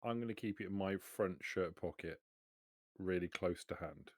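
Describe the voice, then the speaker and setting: A man's voice: low-pitched, normal speed, no echo, clean studio sound. A man playing a tabletop roleplaying game, talking casually and improvising as he goes.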